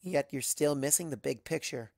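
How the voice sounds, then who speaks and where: A young female pony heroine, voiced by a story reader stands her ground in a dramatic tale. The delivery is earnest and determined, with deliberate, emphatic phrases at a medium pitch in a dry recording. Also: vocal fry, a little mellow, no echo, natural voice. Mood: defiant.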